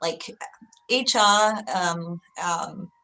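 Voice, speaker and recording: A woman's voice: on the low side, drawn-out words, gravelly, pushed and bright, a little hiss or hum. A middle-aged woman from Austria with an Austrian accent, a webinar guest telling her career story in a chatty way, with lots of fillers and light self-deprecation. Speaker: guest, research executive. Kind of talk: conversational interview answer.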